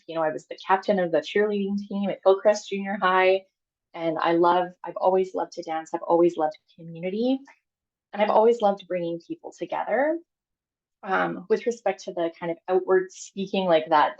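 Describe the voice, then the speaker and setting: A woman from Canada in her thirties talks through her own story on a call, candid and chatty, full of fillers and restarts. Her voice sits low with a gravelly fry. The audio is dull and lo-fi. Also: a little mellow. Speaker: guest speaker. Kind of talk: personal talk on a video call.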